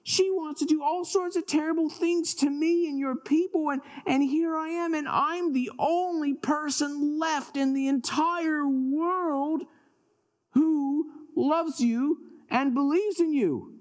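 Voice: high-pitched, slow pace, voice pushed up. A storyteller voicing a frightened, aggrieved male prophet in a Bible story for children, plaintive and dramatic. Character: Elijah, frightened aggrieved man, a lonely prophet.

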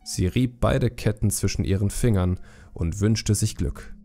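A man narrates a fantasy audiobook in a lowish, gravelly voice with a dark, mellow tone. His delivery is scripted storytelling, set over background music. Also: measured pace.

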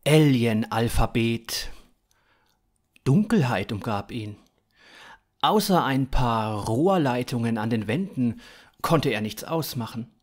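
A man in his thirties narrating scripted fiction in a dramatic, expressive way. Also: mid-range voice, slow, long pauses.

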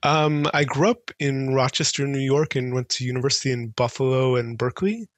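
A man's voice: medium pitch, brisk, no echo. A middle-aged man from Israel, a podcast guest talking casually over a video call on a headset, thoughtful with many fillers and restarts. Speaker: guest, transportation consultant. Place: home office with bookshelves. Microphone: headset mic on video call.

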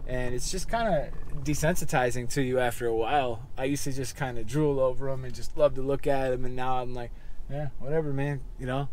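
A man's voice: mid-range voice, fairly even intonation, a little fast, a little mellow, a little hiss or hum. An American man in his thirties chatting casually to viewers in an easygoing, slangy vlog style. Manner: easygoing, slangy, chummy address. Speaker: barber and vlogger. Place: moving car, later a barbershop. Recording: music playing behind the voice.

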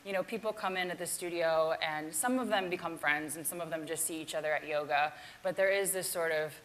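Low-voiced woman giving a chatty talk. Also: a little fast, a bit bright, slight background noise, rough recording. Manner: chatty, self-deprecating, laughs often. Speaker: yoga teacher giving a keynote. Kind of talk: casual presentation with demonstration.

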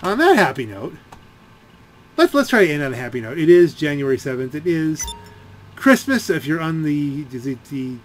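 A man giving casual, rambling gameplay commentary, halting as he thinks aloud. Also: on the high side, big pitch swings, slight background noise. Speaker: gaming video creator.

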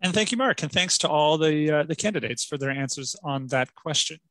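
A man runs an online election debate, reading questions and passing the floor along in a friendly, brisk way. His voice sits a little high. The sound is dry and close, with no room echo. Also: expressive, a bit bright. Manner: friendly, brisk hand-offs. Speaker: debate moderator. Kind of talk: moderating an online town hall.

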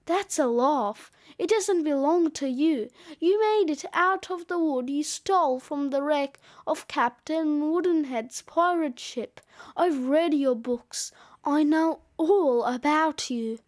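A woman voicing a grumpy, cheeky male snowman in a children's audiobook, gruff and slangy. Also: high-pitched, takes it slowly, dry recording, clean studio sound. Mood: scornful. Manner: slangy, brash, dismissive.

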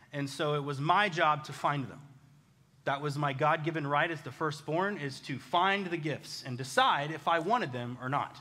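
An American man preaching a conversational sermon, casual, animated and self-deprecating, telling personal stories as a pastor. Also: a little high. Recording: reverberant hall.

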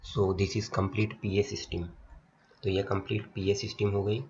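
Low-pitched Indian male lecturer, flat delivery. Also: slow pace. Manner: repeats and explains points step by step. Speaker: polytechnic lecturer. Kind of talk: slide lecture, reading aloud and explaining. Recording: dull lo-fi audio, faint noise, clicks.

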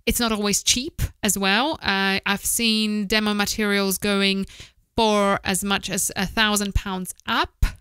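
A woman in her thirties talks informally and candidly, sharing her opinions like a podcast host. Her mid-pitched voice is bright and clean. She speaks close to a studio microphone in a small office, with a dry, intimate sound. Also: level tone, a little slow, clean tone, no room sound. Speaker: voice-over producer giving advice. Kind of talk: informal advice to camera, podcast style. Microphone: close studio microphone with foam windscreen, headphones.

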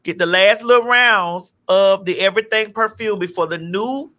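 A woman's voice: mid-range voice, moderate pace, bright, forceful tone. A middle-aged woman hosting a livestream, chatting in a blunt, playful, profane casual way. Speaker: talk show host. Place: indoor studio livestream. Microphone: studio mic on stand, close.

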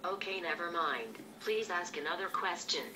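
A synthesized female voice of a tablet assistant app, answering in stilted, flat phrasing. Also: on the low side, normal speed. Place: small room at a desk. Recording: far-off tablet speaker, noisy room.

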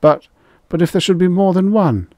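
Man voicing a high-pitched, stammering, terrified coward. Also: animated, normal speed, a little mellow, no echo, studio quality. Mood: panicked. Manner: stammering, pleading, servile. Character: terrified, pleading, cowardly male hunting guide.

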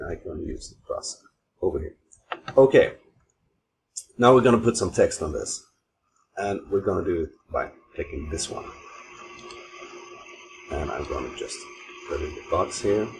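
A man from Sweden with a Swedish accent, giving an informal software tutorial in simple, halting non-native phrasing. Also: deep voice, poor audio. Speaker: tutorial host. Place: voice-over recorded at an old computer. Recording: steady clear background noise, mouse clicks.

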